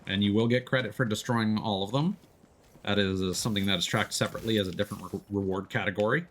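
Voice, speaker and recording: A man's voice: fairly low pitch, fairly even intonation, quick pace, fairly bright tone. A man streaming a video game, giving casual live commentary and explaining tactics step by step as he plays. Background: video game sound.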